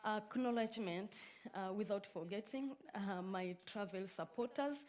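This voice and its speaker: A woman from Tanzania whose first language is Swahili, giving a conference talk in a halting way with many filler sounds. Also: medium pitch, a little mellow. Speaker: conference speaker. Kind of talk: conference presentation.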